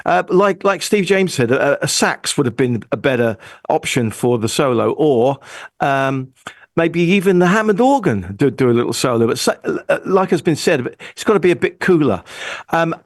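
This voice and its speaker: Higher-voiced man reviewing songs, dry sound. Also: sing-song, moderate pace, dry recording. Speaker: music judge on a review panel. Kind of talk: casual music critique.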